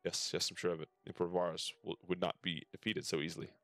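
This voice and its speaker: A man with a low-pitched voice reads story dialogue from a game aloud for a stream. He drops in casual comments and sometimes trips over the text. Music plays behind him. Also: level tone, fast talker, creaky, fairly bright tone, dry recording. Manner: stumbles over text while reading aloud.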